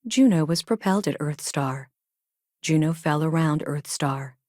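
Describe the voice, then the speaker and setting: A woman playing an emotionless, logical female AI space probe in a video game, speaking in a clipped, formal, deadpan way. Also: low voice, a little slow, dry recording, studio quality. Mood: flat, analytical. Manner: clipped, formal, deadpan, announces each statement type first. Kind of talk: scripted game dialogue.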